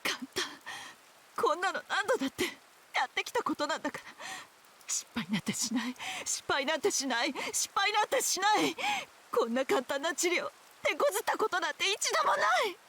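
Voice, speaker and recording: A woman's voice: very high voice, very animated, quick pace, sharp tone, a little hiss or hum, exaggerated voice. A woman voicing a desperate, grieving witch in a Japanese visual novel, her voice emotional and prone to cracking. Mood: defiant, strained.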